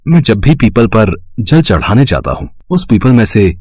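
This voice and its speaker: A man playing a humble, devout and kindly Brahmin father in an expressive cartoon story style. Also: on the low side, normal speed, no room sound. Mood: earnest, wondering. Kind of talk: Hindi cartoon bedtime story acting.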